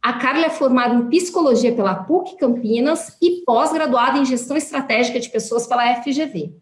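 High-voiced Brazilian woman hosting a podcast. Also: fairly quick. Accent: Brazilian Portuguese. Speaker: podcast host. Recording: roomy echo, faint music.

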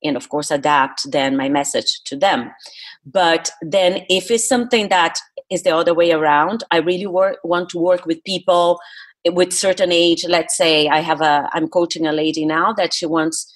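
A woman's voice: fairly low pitch, steady tone, moderate pace. A Brazilian woman, a native Portuguese speaker, talking earnestly and emphatically as a coach being interviewed. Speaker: guest, public speaking coach. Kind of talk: interview talk.

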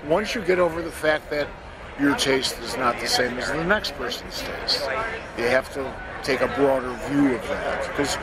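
An older man in a casual interview, talking in a reflective, halting way as a guest. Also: medium pitch. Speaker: interview guest, comic book editor. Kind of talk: casual conversation. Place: busy comic convention. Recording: very loud convention crowd noise.

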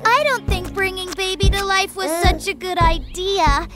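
A cheerful, high-voiced little girl. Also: moderate pace. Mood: alarmed. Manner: bright, excited, eager. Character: cheerful young girl, playful and bossy. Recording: cartoon soundtrack with loud music.

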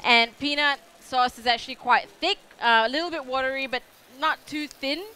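A woman's voice: sits high, moderate pace, bright, forceful tone, clear, resonant tone. Chatty young Australian woman demonstrating cooking. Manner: chatty, rambling with many fillers. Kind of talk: live cooking demo. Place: food show stage kitchen with audience.

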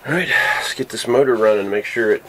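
Man, 40s, deep voice, relaxed casual vlog talk, sailboat cabin, handheld camera, faint background noise. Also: fast talker, rough recording. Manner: relaxed, explaining plans. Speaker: sailing vlogger.

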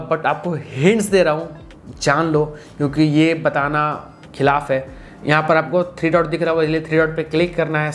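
Male tutorial host, twenties, slightly high pitch, casual teaching style, some background noise. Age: 20s. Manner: instructional, repeats stock phrases. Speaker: tutorial presenter. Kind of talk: casual phone-app tutorial. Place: small indoor room.

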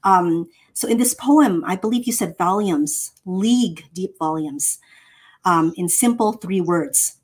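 Middle-aged female host, lowish voice, gushing, hesitant, video call. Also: unhurried, husky. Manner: effusive praise, hesitant with fillers. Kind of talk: interview questions. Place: home room.

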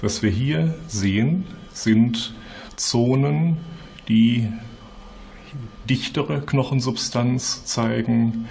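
A man speaking as a scientific expert, explaining findings in careful, halting phrases. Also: medium pitch, gravelly, darkish tone, slight background noise. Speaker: physicist, expert being interviewed.

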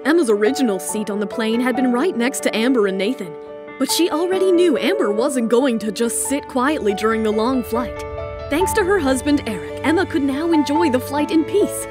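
A woman narrates a scripted drama in a high-pitched voice. She reads as a storyteller, with music clearly playing behind her. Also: animated, moderate pace. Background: music bed.

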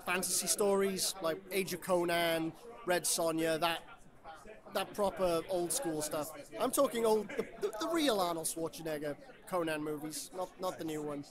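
A man from Wales, a comic creator chatting casually about his book at a convention. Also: high-pitched. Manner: loose, rambling, with fillers and dry jokes. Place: convention floor. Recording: busy convention crowd behind.

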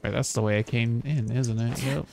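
A man's voice: mid-range voice, fairly even intonation, moderate pace, creaky, darkish tone, a little hiss or hum. A man live-streaming a game, chatting casually, reading aloud and muttering reactions with casual swearing. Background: video game music and sounds.

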